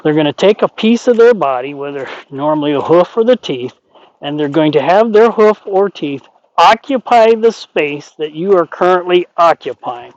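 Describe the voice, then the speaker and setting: A man talking through horse training in a folksy, rambling way, his voice sitting a bit high. The sound is dull and lo-fi, taken outdoors by the horse pen. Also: lively intonation, normal speed, dry recording. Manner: folksy, rambling, plain-spoken. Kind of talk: explaining horse training while working.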